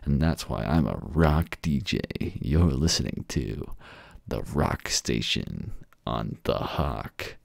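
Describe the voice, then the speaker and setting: A man's voice: rumbling low, big pitch swings, slow pace, vocal fry, no echo, studio quality, full character voice. A man playing a too-cool pop punk rock radio DJ with an indifferent slacker manner.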